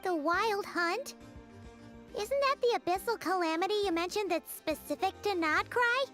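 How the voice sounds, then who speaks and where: A tiny floating girl sidekick from a fantasy game. The voice is extremely high and squeaky, bouncing up and down with childlike curiosity. Music plays underneath. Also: animated, normal speed, a bit bright. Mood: alarmed. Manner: speaks of herself in third person, exclamatory. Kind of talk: video game cartoon voice acting.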